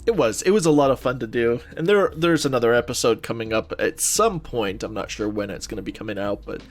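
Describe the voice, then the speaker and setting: A man's voice: mid-range voice, animated, a little fast. A man co-hosting a podcast, chatting casually in an informal, easygoing manner. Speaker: podcast co-host. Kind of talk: casual chat. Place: home office. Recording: home office recording, music underneath. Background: underlying background music.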